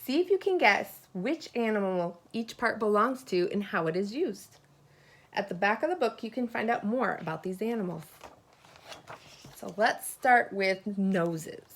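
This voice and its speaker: A woman teacher reading a children's picture book aloud expressively, pausing to put questions and asides to her young listeners. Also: medium pitch, lively intonation.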